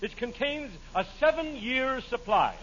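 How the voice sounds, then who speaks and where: An American announcer in a 1940s radio comedy pushes his product with exaggerated earnestness. His pitch is extremely high and swoops around in a lively way. The old recording is buried in loud noise. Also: measured pace. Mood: gushing salesman. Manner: exaggerated salesman delivery. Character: mock-earnest male radio announcer hawking bubble bath. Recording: muffled, very noisy vintage radio broadcast.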